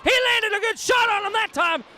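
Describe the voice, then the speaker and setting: Excitable, hoarse high-voiced commentator. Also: male voice, moderate pace, a little hiss or hum. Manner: excitable, yelling, pleading with wrestlers. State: losing his voice. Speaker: wrestling color commentator.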